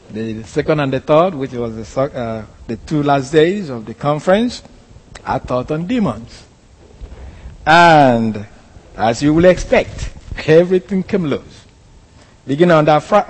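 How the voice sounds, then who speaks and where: A man's voice: medium pitch, a little mellow, in a large hall. A man from Nigeria with a Nigerian accent, a pastor telling a story in a sermon, hesitant, with many fillers and restarts. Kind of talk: sermon testimony.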